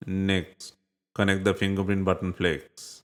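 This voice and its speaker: A man reading scripted step-by-step repair instructions in a measured, plainly instructive way. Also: low-pitched, flat delivery. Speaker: repair tutorial narrator.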